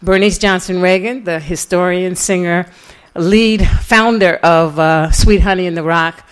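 Low-pitched middle-aged American woman, conversational speaker. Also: unhurried, clear, resonant tone. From New York City, USA. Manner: conversational, animated retelling with quoted dialogue and chuckles. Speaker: guest lecturer and author. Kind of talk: informal public lecture, personal storytelling.

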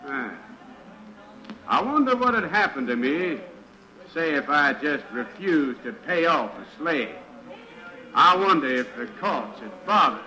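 A sharp, bright-voiced carnival barker. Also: male voice, on the high side, sing-song, unhurried, long pauses, reverberant, full character voice, poor audio. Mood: grudging, sly. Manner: showman's sing-song patter, grudging. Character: brash male carnival barker running a wheel of chance. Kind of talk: 1940s radio drama acting.

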